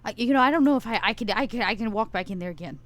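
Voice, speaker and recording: A woman's voice: mid-range voice, lively intonation, racing, fairly bright tone, lightly acted. A woman playing a flustered, defensive woman driver in a tabletop game, stammering and blurting her words. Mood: anxious relief. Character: Nero, flustered, defensive woman driver of a monster-hunting cell.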